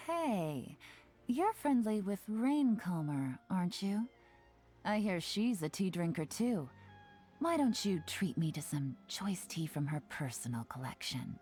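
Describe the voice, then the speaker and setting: A woman voicing a shrewd, playful young spymaster in a video game, teasing and self-assured, with a smooth delivery. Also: fairly low pitch, dark tone. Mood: teasing, coy. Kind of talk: scripted video game character dialogue. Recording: game music behind the voice.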